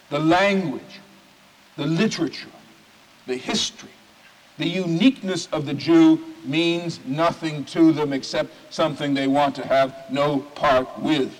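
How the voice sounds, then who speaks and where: A man, a rabbi, giving a sermon in an oratorical manner, stacking phrases with emphatic pauses. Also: on the high side, steady tone. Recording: reverberant hall recording.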